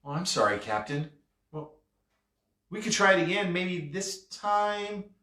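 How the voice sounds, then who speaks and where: A man reads as a young storybook lad, timid and anxious to please. His words come hesitantly and politely, in a fairly high voice. The recording is echoey and a little distant. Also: very animated, measured pace, fairly bright tone. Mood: anxious, placating. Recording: roomy, echoey, distant mic.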